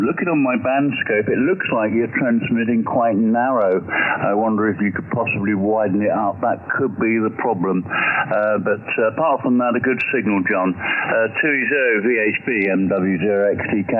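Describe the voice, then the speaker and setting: A man with a lowish voice talks helpfully and in a measured way over ham radio. The sound is thin and band-limited, with faint static. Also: narrow, tinny audio. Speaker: amateur radio operator. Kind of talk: ham radio signal report.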